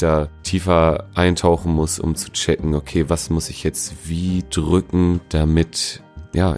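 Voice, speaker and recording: A man's voice: sits low, darkish tone. A man answering interview questions in a casual, informal, laid-back way, as a musician talking about himself. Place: at home.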